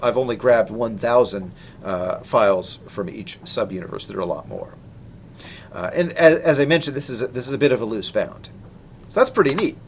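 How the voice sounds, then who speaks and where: A man's voice: medium pitch, slight background noise. A man presenting research in a technical lecture, explaining in an informal, conversational way. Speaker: researcher presenting his work.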